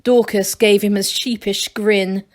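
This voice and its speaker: A woman's voice: mid-range voice, steady tone, unhurried. A woman reading a children's storybook aloud as narrator, like a teacher to a class.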